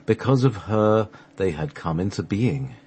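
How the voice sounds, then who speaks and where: Man, very low pitch, rough husky tone, scripted narration, sacred text, halting reader. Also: lively intonation, darkish tone. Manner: halting, stumbles over the script and restarts. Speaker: host and narrator of a radio play.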